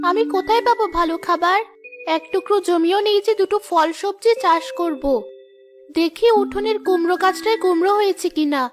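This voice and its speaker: A woman voicing a poor, devoted, worried young village mother in a cartoon, with a dramatic, exclaiming delivery. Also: very high-pitched. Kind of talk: Bengali cartoon voice acting.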